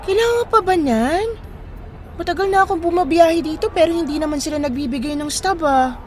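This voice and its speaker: A woman's voice: very high-pitched, brisk, darkish tone, faint music behind. An actress playing a friendly, inquisitive young woman in a radio drama, speaking casually. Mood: curious. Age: young adult. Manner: casual, conversational. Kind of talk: naturalistic radio drama dialogue in Tagalog.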